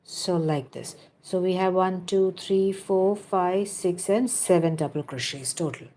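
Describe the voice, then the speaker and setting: A woman's voice: lowish voice, a little slow, mellow. A woman hosting a live crochet tutorial, counting stitches aloud and giving patient step-by-step instructions, with chatty asides to viewers. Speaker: crochet teacher and livestream host.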